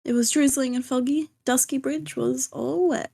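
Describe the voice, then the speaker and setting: A woman's voice: sits high, fairly even intonation, moderate pace, creaky, noisy background. A woman voicing a determined, assertive young female rookie defense lawyer in a fan dub of a courtroom game. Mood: confident. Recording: music behind the voice.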